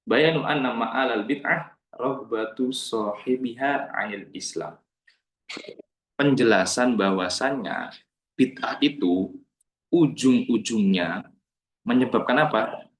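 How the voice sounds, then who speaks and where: A man teaching an online Islamic studies class. He explains slowly, repeats phrases for emphasis and recites Arabic passages. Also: mid-range voice, roomy sound, low-quality recording.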